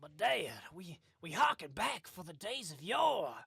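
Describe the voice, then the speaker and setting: A man's voice: high-pitched, very animated, a little slow, gravelly, a crowd in the background, full character voice. A man playing a folksy, apologetic grown son who talks in a plain, ungrammatical way. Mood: sheepish.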